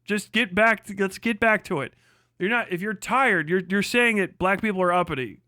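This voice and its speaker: High-pitched American man ranting bluntly. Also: normal speed, sharp tone, dry recording, studio quality. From United States. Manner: blunt, irreverent, emphatic. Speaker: livestream host. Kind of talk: casual, profane opinion rant.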